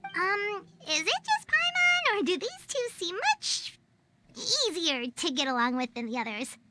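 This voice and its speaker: A woman's voice: extremely high pitch, very animated, unhurried, very noisy, full character voice. A woman voicing a small, bubbly, childlike fairy companion in a video game, blurting and exclaiming. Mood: uneasy, curious. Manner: exclaiming, blurting, speaks of self in third person. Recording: background music under the voice.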